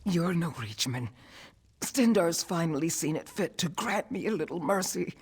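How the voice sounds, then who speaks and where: A wounded fantasy game scout with a husky, rough-edged voice. The delivery is strained and earnest, loyal and defiant despite the injuries. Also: medium pitch, expressive, moderate pace. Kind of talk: video game character acting.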